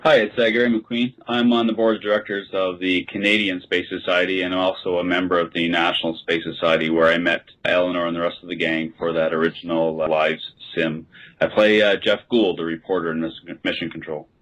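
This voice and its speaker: Deep, flat-voiced man chatting. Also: fairly quick, poor audio. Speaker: podcast guest, space society board member. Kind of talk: casual recollection.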